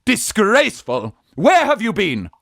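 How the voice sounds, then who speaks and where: Farce radio drama: young man posing as a genteel aunt, high, very animated, archly coy, dry recording. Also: unhurried, no echo, heavily acted. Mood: haughty. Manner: flustered, haughty, archly coy. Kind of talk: Victorian farce radio acting.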